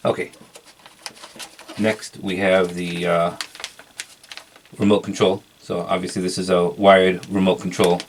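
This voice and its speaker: A man giving a casual product review in an unhurried, halting show-and-tell way. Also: low-pitched, flat delivery, slight background noise.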